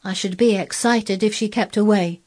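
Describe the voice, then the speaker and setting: Female narrator as sickly ten-year-old boy, storybook character, raised pitch, imperious, wilful, commanding delivery. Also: steady tone, moderate pace, darkish tone, husky, close to an everyday voice. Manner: commanding, peremptory.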